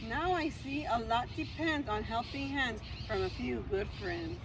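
A woman's voice: fairly high pitch, a little slow. A woman voicing a big, self-important dump truck from a children's storybook. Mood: grateful. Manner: pompous. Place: outdoor fenced lawn near a road. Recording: outdoor noise, passing cars.

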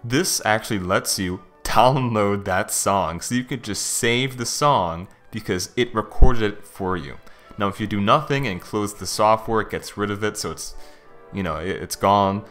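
Male tech reviewer, low register, informal and conversational screen-demo narration over background music. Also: unhurried, a bit bright. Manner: informal, conversational, thinking aloud. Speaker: software reviewer. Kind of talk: casual screen-recorded software walkthrough.

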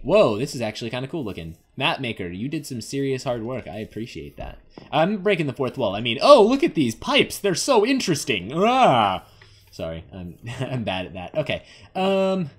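Guy rambling over a video game, jokey and excitable, voice jumps up and down a lot. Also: mid-range voice. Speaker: gaming video host. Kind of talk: casual playthrough commentary.

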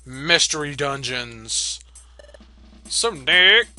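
A man's voice: fairly high pitch, animated, drawn-out words, pushed and bright. A man giving casual, irreverent let's play commentary, reading game dialogue aloud with playful asides. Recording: game music behind the voice. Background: video game music.

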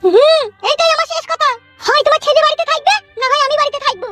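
A man's voice: extremely high pitch, quick pace, sharp tone, no echo, soft background music. A man voicing a spiteful, domineering village stepmother in a comic cartoon, scolding and bossy. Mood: stern.

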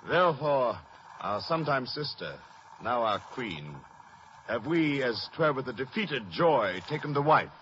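A man's voice: medium pitch, very animated, unhurried, fairly bright tone. An actor playing a stately, formal king addressing his court in 1950s radio Shakespeare. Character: King Claudius, smooth regal usurper addressing his court. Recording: muffled, noisy 1950s radio broadcast.

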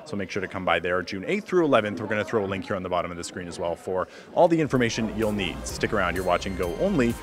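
Brisk upbeat man hosting on location. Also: sits low, very animated, over music. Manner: brisk, upbeat presenter patter. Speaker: community TV host. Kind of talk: on-location TV interview and links. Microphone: handheld interview mic.

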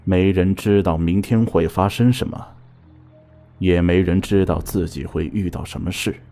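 Man acting a cold general, low and slow. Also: steady tone, vocal fry, warm tone, soft background music. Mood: taunting, bitter. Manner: commanding, taunting. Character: cold, menacing male general of ancient China. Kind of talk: costume radio drama acting.

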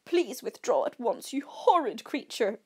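Woman reading as an implacable, deadpan male camper. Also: fairly high pitch, normal speed, breathy edge. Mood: mocking. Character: unbothered male camping companion, dry, dismissive, mocking. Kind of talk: storybook read-aloud dialogue.

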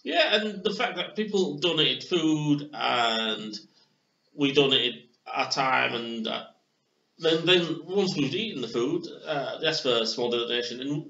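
A man's voice: fairly high pitch, expressive, a little slow, bright, forceful tone. A young man from England hosting a casual beer tasting review at home, hesitant and full of fillers, recorded on a webcam in a small room. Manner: hesitant, many fillers and restarts. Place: small bedroom with posters, indoors. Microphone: webcam on desk. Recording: roomy, dull lo-fi webcam audio.

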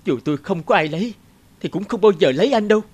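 An actress voicing a distressed, wounded young woman in an animated drama, emotional, indignant and pleading. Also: medium pitch, fast talker, close to an everyday voice. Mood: indignant, defiant. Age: young adult. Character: distressed young engaged woman, defiant, wounded, guilt-ridden.

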